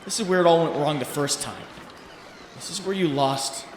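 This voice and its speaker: A man's voice: fairly high pitch, moderate pace, lightly acted. A man playing a young male superhero in a comic book dub, anguished and frantic, with a brooding, self-mocking monologue that bursts into urgency. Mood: bitter, brooding. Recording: roomy reverberant voice, faint noise.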